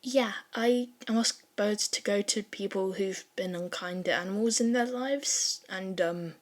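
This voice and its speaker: A woman voicing a shy, gentle teenage boy with a hesitant, apologetic way of speaking in an audio fan story. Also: mid-range voice, unhurried, lightly acted.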